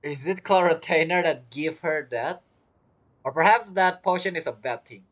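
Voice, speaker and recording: A woman's voice: deep voice, normal speed, background noise. A woman giving casual reaction commentary as she plays through a video game story. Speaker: gameplay streamer.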